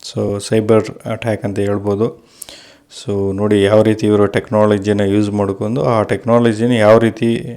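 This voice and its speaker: A man's voice: on the low side, mellow. A man from India presents an informal news explainer, mixing in English terms. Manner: colloquial, mixes in English terms. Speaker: video presenter.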